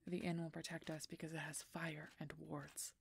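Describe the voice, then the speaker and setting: A woman's voice: deep voice, level tone, moderate pace, vocal fry, a little hiss or hum. A woman playing a guarded, brooding female werewolf knight in a fantasy audio roleplay, terse and often murmuring in a whisper. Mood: guarded, wry.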